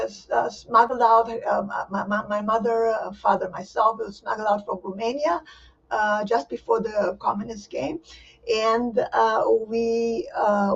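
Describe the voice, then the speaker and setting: An older woman who grew up in Israel, recalling her early life in a halting way, with many restarts. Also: on the high side, breathy edge. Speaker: poet and professor, interview guest. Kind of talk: virtual interview, personal recollection. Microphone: video call. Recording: distant, muffled video call audio.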